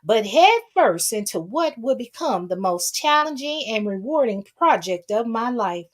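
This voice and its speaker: A woman voicing a meek, docile old man who plays the victim in a soft, earnest dramatic reading. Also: on the high side, expressive, unhurried. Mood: earnest, self-pitying.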